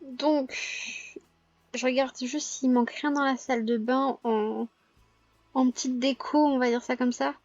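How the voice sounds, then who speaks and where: Hoarse high-voiced woman with a cold. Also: fairly even intonation, a little mellow, no echo, highs cut off, faint music behind. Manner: hesitant, many filler pauses and self-corrections. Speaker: gaming vlogger. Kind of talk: casual gameplay commentary.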